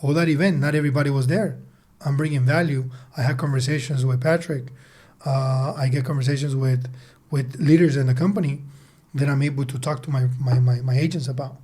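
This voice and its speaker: A man's voice: mid-range voice, steady tone, fairly quick, a little mellow. A man in his thirties answering conversationally as an interview guest, emphatic and repeating words for stress. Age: 30s. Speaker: podcast guest, sales team leader and entrepreneur.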